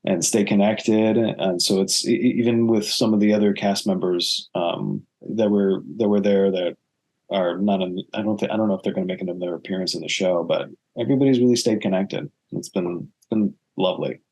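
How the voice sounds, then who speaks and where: A man speaking as an actor being interviewed, earnest and reflective, often halting and restarting his phrases. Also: low voice, steady tone, a little mellow, husky, low-quality recording.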